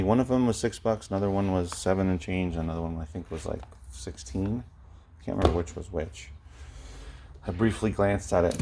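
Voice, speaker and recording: Man, deep creaky voice, casual rambling vlog delivery, low-key, reseller showing items. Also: a little mellow. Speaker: online book and media reseller sharing recent sales. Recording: noticeable background noise, clicks.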